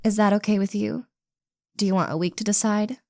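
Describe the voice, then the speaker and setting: A woman's voice: mid-range voice, steady tone, fairly quick, creaky, darkish tone, no room sound, studio quality, natural voice. A woman acting a smug, defensive teenage head cheerleader in a romantic audio roleplay, with a sassy, pushy manner. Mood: impatient.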